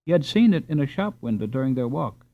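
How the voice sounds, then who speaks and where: A man's voice: mid-range voice, big pitch swings, quick pace. A man reading a children's novel aloud as a scripted audiobook narration. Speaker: audiobook reader. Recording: slightly dull, dry recording.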